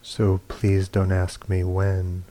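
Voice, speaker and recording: A man's voice: deep voice, completely flat delivery, takes it slowly, dark tone. A man leading a guided meditation as a teacher, reading poems and reflections in sparse phrases with long silences. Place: meditation retreat hall.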